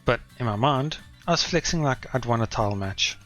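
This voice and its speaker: A wry, self-deprecating teenage schoolboy narrates his everyday life in a dry, understated way, as a man reads him aloud. The voice sits at an average pitch, with faint music playing underneath. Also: expressive, a little fast, fairly bright tone, lightly acted. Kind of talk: reading visual novel text aloud.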